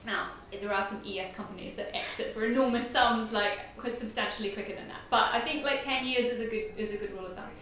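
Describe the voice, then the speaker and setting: A woman's voice: fairly high pitch, quick pace, few pauses, a bit bright, slight background noise. A woman with a New Zealand accent, a company founder chatting easygoingly as an interview guest and laughing easily. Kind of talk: casual interview. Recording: echoey room, voice far from mic.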